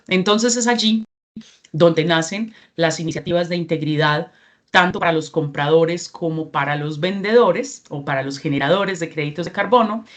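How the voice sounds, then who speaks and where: Lower-voiced Colombian woman presenting. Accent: Colombian Spanish. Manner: measured, with frequent filler sounds and restarts. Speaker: regional representative giving a training talk. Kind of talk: presentation to a workshop audience.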